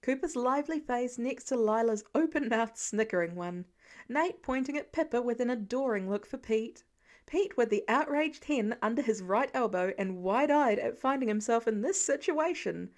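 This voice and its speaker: A woman's voice: fairly high pitch, animated, normal speed. A woman narrator reading a scripted piece of fiction as an audiobook.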